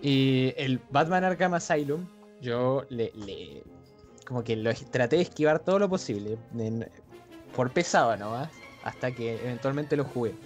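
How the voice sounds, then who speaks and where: A young man with an Argentine accent tells his stories in a loose, easygoing way, stopping often to hesitate and laugh. He talks at an ordinary middle pitch, and faint music plays behind him. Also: normal speed. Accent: Argentine Spanish. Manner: relaxed storytelling with frequent hesitations and laughs. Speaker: podcast co-host. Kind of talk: casual chat.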